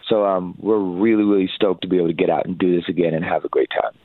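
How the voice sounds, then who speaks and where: A man's voice: low voice, steady tone, fast talker, no room sound. A man in his thirties from Hawaii, a musician talking in a casual interview with an earnest, upbeat manner and frequent fillers. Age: 30s. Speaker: musician guest. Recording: tinny phone line.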